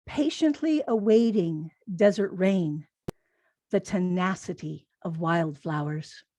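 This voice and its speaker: A woman poet reading haiku aloud in a measured delivery with pauses between the phrases. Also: lowish voice, warm tone, no room sound. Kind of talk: poetry reading.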